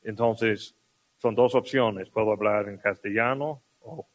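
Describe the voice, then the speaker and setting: A man, native English speaker, giving a lecture in Spanish with an English accent, relaxed and self-deprecating. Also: lowish voice, measured pace. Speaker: guest lecturer. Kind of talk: academic lecture.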